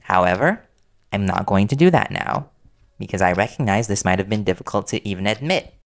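Worried reporter boyfriend played by a man, audio roleplay; creaky, conversational, earnest and gently confrontational. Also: on the low side, animated, brisk. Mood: anxious, curious.

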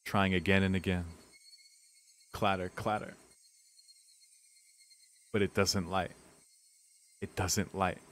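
A man's voice: sits low, level tone, takes it slowly, long pauses, a bit bright. A man streaming a visual novel, reading the narration dramatically and breaking into casual, sweary reactions.

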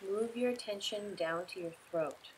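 A woman leading a guided relaxation meditation in a soothing, unhurried way, giving instructions in short, spaced phrases. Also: mid-range voice, slight background noise, rough recording.

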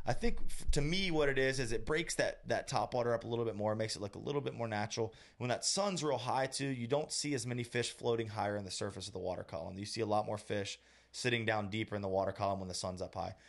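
Guy in his 30s, low voice, talking fishing on a podcast mic, kinda slow and thinking it through. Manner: thoughtful, explains step by step with many restarts. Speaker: co-host, fishing guide. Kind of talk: casual podcast chat. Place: small room indoors. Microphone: studio mic on boom arm.

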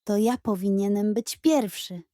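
A woman's voice: mid-range voice, measured pace, mellow, clean tone, no room sound, studio quality, natural voice. A woman voicing a self-important male goose in a children's audio story. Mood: boastful.

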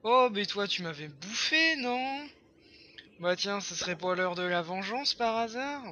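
A hoarse young man chatting casually over his own gameplay, excitable and full of fillers. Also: high-pitched, measured pace, a little hiss or hum. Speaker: gameplay commentator. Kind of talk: casual let's-play commentary.

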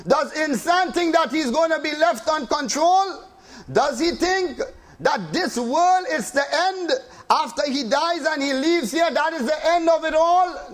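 An impassioned male preacher with a strikingly high voice. He builds his sermon on rhetorical questions and slow, weighty repetition, breaking into quick chanted recitation of Arabic verses. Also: a bit bright. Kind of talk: Islamic sermon.

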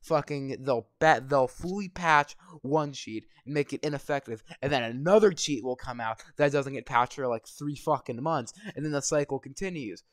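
A young man with a slightly hoarse voice, ranting and swearing constantly while commenting over gameplay. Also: medium pitch, normal speed, few pauses, no echo. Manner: angry, swearing constantly, rambling. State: voice going hoarse. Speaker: gaming vlogger.

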